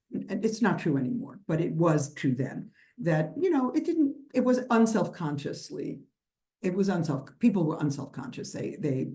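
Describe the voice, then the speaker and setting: A woman's voice: low voice, warm tone. An older woman from New York, a historian answering questions at a lecture, talking in a rambling way with many restarts and fillers. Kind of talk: conversational lecture Q&A.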